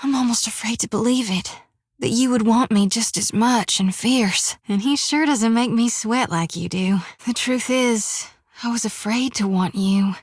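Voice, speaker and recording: A bashful young priestess. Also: female voice, a little high, unhurried, slightly rough, dry recording, studio quality. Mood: affectionate. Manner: earnest, bashful, self-deprecating. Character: earnest young woman priestess companion, shy and lovestruck. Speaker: game companion. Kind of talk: video game voice acting. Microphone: dry close studio mic.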